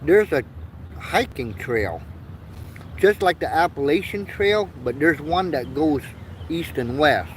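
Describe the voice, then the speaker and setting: A man's voice: on the high side, lively intonation, slow pace, slight background noise, poor audio. A man in his fifties, born in Vietnam and raised in the United States, talking earnestly in a rambling livestream, often stopping to repeat himself. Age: 50s. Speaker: livestream host. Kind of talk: rambling casual livestream monologue.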